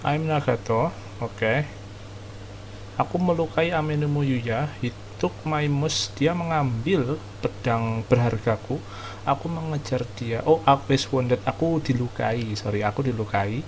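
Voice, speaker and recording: A man's voice: mid-range voice, normal speed, a little hiss or hum, poor audio. A man with an Indonesian accent giving casual, informal gameplay commentary as a streamer, mixing in English words. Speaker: game streamer. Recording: clear music behind the voice.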